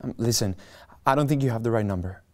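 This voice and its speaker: A man's voice: medium pitch, quick pace, lightly acted. A man playing a frantic young man in panic. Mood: panicked. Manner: frantic, stammering, shouting in alarm. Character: panicked young man who found a body. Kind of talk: script table reading.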